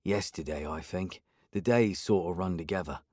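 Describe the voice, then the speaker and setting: A man voicing a laconic, nearsighted boardwalk local who speaks in short, low, hushed phrases. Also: normal speed, no room sound, clean studio sound.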